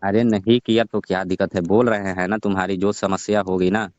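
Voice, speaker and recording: A man's voice: low voice, level tone, brisk, a little mellow, lightly acted. A man acting a placating, pride-bound middle-aged father-in-law in a staged phone-call drama, speaking colloquially in a coaxing, reassuring way. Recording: dull, lo-fi dry call audio.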